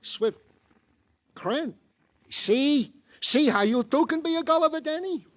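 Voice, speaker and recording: An actor playing a chatty, fussy police sergeant with florid, mock-poetic phrasing in a 1950s radio crime drama. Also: male voice, very high voice, animated, slow, fairly bright tone, heavily acted. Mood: mock offended.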